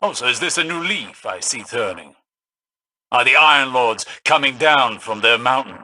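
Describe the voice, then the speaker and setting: A sharp-voiced, shrewd older scholar-warrior. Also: male voice, mid-range voice, animated, no echo, rough recording. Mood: wry, teasing. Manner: measured, formal, wry. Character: shrewd, aloof older male scholar-warrior, once exiled. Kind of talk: scripted video game dialogue.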